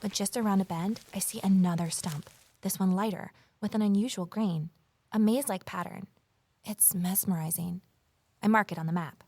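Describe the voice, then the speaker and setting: A woman's voice: medium pitch, moderate pace, darkish tone, close to an everyday voice. A woman playing an eager, nosy former journalist turned sleuth, telling her story in earnest first-person audio drama style. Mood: tense, intrigued.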